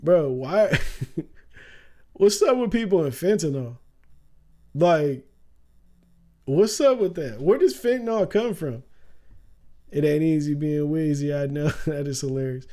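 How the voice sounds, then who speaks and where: A young man talks casually on a stream, loose and full of slang, laughing easily. His voice sits a little high but sounds warm and mellow, with a faint rasp. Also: lively intonation, measured pace, long pauses. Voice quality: raspy at times. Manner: loose, slangy, laughs often, says "bro" a lot. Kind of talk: casual livestream commentary.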